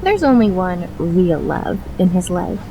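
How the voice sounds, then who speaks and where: Sugary, catty young woman, played by an actress, lively pitch. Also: unhurried, vocal fry, background noise, full character voice. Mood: teasing. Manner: gushing, syrupy, feigned friendliness. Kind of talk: audio drama acting.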